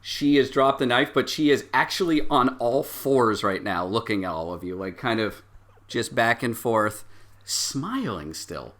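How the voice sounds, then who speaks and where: A man narrates an improvised tabletop game as its game master. His voice is mid-pitched, bright and forceful, and he builds scenes vividly in halting pieces with many pauses. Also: lively intonation.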